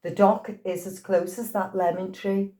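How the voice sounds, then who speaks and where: A woman's voice: medium pitch, level tone, moderate pace, dark tone. A woman in her sixties from Liverpool with a Liverpool accent, chatting in an interview and telling anecdotes with frequent laughs. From Liverpool, England. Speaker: actress being interviewed. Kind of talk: casual storytelling interview.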